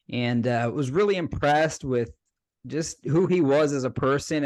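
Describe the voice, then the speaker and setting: A man's voice: medium pitch, dry recording. A man hosting a podcast, casual and enthusiastic, calling his guest dude. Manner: laid-back, enthusiastic, says dude. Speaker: podcast host. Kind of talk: casual interview chat.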